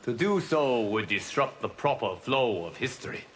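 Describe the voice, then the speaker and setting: An actor playing a solemn fire god and guardian in a video game, speaking in a measured, formal, cryptic way. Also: male voice, mid-range voice, animated, fairly bright tone, a little hiss or hum, lightly acted. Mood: calm, reserved.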